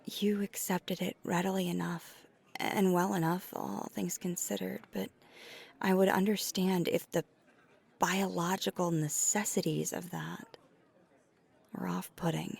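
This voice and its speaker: Breathy, slow-spoken female vampire played by a woman. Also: on the low side, little pitch movement, full character voice. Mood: earnest, anxious. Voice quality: breathy, often whispered. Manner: hesitant, earnest, gentle pauses. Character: flirty, tender female vampire confessing to a date. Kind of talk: soft ASMR roleplay, intimate confession.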